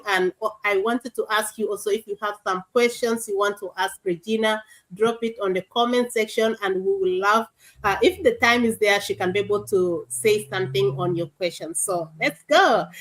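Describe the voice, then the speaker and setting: A woman hosts a live online interview in an earnest manner. She often repeats words for emphasis and pauses with small fillers as she speaks, in a voice of average pitch for a woman. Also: fairly bright tone, poor audio. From South Sudan. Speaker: host. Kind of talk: livestream interview.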